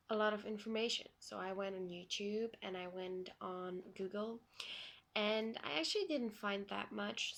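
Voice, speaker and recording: A young Belgian woman speaks English as a second language, telling her own story slowly and without hurry. Her voice is bright and clear, and she fills the gaps with soft ums as she goes. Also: mid-range voice. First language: not English. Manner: unhurried, plain retelling with frequent ums. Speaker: vlogger. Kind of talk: personal story vlog.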